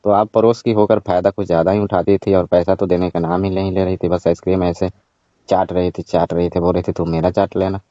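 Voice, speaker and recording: A man's voice: low voice, little pitch movement, fairly quick, warm tone, no room sound, natural voice. A man playing a cheeky, money-minded village ice-cream seller in a staged phone-call skit, matter-of-fact, teasing and evasive. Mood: chiding. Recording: dull, lo-fi call recording.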